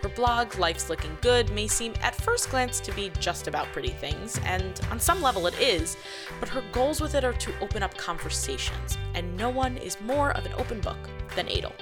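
A woman of medium pitch hosting an interview podcast, moving from a scripted intro to lively questions. Faint music sits beneath her voice. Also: normal speed. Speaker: podcast host.

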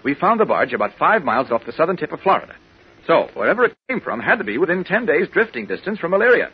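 An actor playing an earnest, urgent reporter in 1940s radio drama style, probing and explaining with some stammering. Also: male voice, on the high side, sing-song, quick pace, husky, full character voice. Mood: earnest, explanatory. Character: earnest, urgent male reporter, insistent and quick-thinking. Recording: muffled vintage radio recording.

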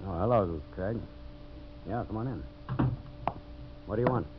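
Curt timber boss, man acting, American, gruff and dryly sarcastic, low voice, muffled old radio. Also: unhurried, lightly acted. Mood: curt, sardonic. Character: gruff, sardonic embattled timber businessman. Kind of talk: 1950s radio drama acting. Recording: muffled, very hissy vintage radio recording.

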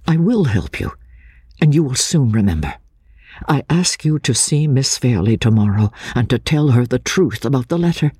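An earnest gentleman hero of a classic novel, voiced by a female reader. He speaks in a husky, dry-recorded voice with plenty of rise and fall, measured, coaxing and gently reassuring. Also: very deep voice, big pitch swings, no echo, heavily acted. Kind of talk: dramatic audiobook character reading.

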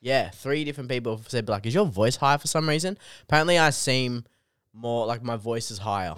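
A man's voice: mid-range voice, brisk, smooth, dry recording, studio quality. A man in his twenties with an Australian accent, a guest comedian chatting loosely, swearing and laughing as he tells stories. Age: 20s. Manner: swearing, laughing, animated storytelling. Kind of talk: casual drunk podcast banter.

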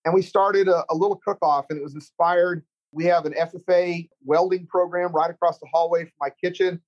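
A man's voice: sits high, fairly even intonation, fairly quick, dull audio. A middle-aged man from Central Texas with a Texan accent, a culinary teacher and chef chatting earnestly in an interview, rambling with many restarts. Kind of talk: casual interview chat.

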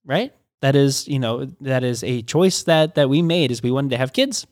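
A man's voice: mid-range voice, no room sound, clean studio sound. A middle-aged man, a pastor, talking through ideas in a casual teaching conversation, with frequent restarts and stammers. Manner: halting, many restarts and stammers.

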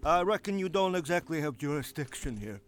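An actor playing a timid, reluctant guard in an audio drama, hesitant, folksy and deferential. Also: male voice, a little high, expressive, fairly quick, close to an everyday voice. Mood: reluctant, wry. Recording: clearly noisy background.

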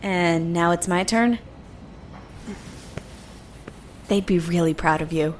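A woman acting in an audio drama as an absorbed, warm-hearted lab researcher with a brisk, distracted manner. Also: on the low side, a little hiss or hum, natural voice. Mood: fond.

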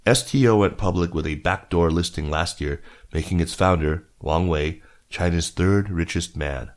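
A man with a low-pitched voice narrates business news from a script. He works as a reader for a news podcast, delivering written stories aloud. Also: normal speed.

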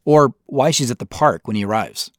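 A man's voice: mid-range voice, normal speed, no room sound. An American man giving a scripted director's commentary on an audio drama as its creator.

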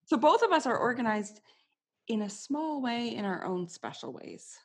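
A woman hosting an interview podcast, telling relaxed stories in casual chat. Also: fairly high pitch, moderate pace. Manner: relaxed, storytelling, easy laughs. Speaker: podcast host.

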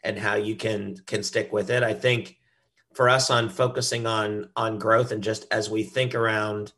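A man with a low, husky voice answers as a guest in a business interview. His speech is conversational and a little halting, with repeated words, and carries an audible creak. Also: flat delivery, pushed and bright. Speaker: brand director, interview guest.

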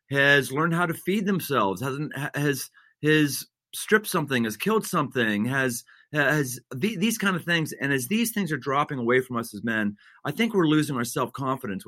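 A man's voice: mid-range voice, a bit bright, dry recording, clean studio sound. A man, a senior pastor, talking in an interview in an earnest way, building into an emphatic preaching rhythm with repeated phrases. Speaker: senior pastor, guest author.

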